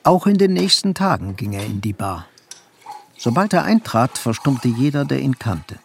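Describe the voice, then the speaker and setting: An actor narrating a crime story in a literary radio play. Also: male voice, medium pitch, big pitch swings, normal speed, gravelly, slight background noise. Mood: matter-of-fact. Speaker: second narrator.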